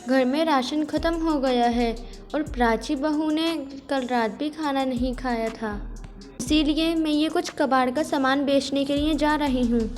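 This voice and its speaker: A woman's voice: high-pitched, measured pace, darkish tone. A woman voicing a poor, long-suffering older mother-in-law in a Hindi cartoon story, with music behind her. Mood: resigned.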